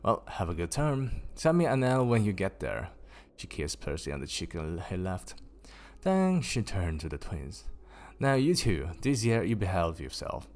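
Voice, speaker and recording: A man streaming a casual story reading, raising his pitch for the characters and stopping to laugh and swear at typos. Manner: lifts pitch for quoted characters. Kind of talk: casual livestream book reading.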